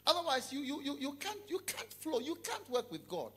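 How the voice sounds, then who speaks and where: A middle-aged man preaching a sermon to a hall audience on a handheld microphone, emphatic and repetitive, dropping to a hush then rising loud. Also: very high voice, huge pitch swings, moderate pace. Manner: emphatic, repeats phrases, swings between hushed and loud.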